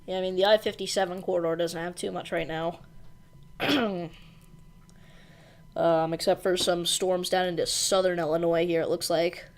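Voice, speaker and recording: A woman hosting a live weather stream, briskly reading out storm warnings in an informal way with some fillers. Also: on the low side, little pitch movement. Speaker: weather livestream host. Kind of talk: live severe weather coverage.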